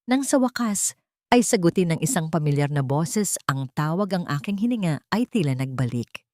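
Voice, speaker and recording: A woman storyteller with a fairly low voice. She delivers dramatic first-person narration from a script, recorded close and dry. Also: expressive, moderate pace, a little mellow, dry recording.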